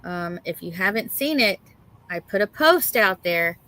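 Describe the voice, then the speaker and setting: A woman's voice: mid-range voice, expressive, measured pace, a bit bright, low-quality recording. A middle-aged woman co-hosting a livestream in casual, folksy chat, reading out and greeting viewers. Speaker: livestream co-host.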